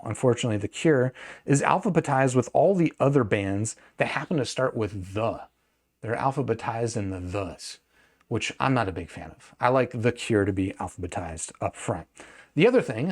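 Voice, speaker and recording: A man's voice: mid-range voice, a little mellow. A man giving a casual, unscripted product review in a conversational way, often hedging and thinking aloud as he goes.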